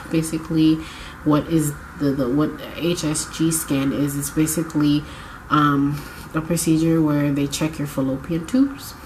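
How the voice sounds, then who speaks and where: A young woman with a low, congested voice chats to her camera as she gets over a cold. She rambles and corrects herself as she goes. A faint hiss of room noise sits behind her. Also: low-quality recording. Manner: chatty, rambling, self-correcting. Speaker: vlogger. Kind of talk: casual chat to camera. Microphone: camera mic.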